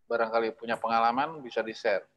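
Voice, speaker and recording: A man moderating a casual discussion, talking haltingly and often repeating words. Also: medium pitch, steady tone, muffled recording. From Indonesia. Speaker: host, moderator.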